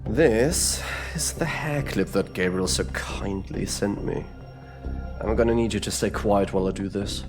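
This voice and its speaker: A man's voice: on the low side, measured pace, over music, close to an everyday voice. A man playing a wry, brooding supernatural detective in a scripted audio drama, with a theatrical delivery. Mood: grave, ominous.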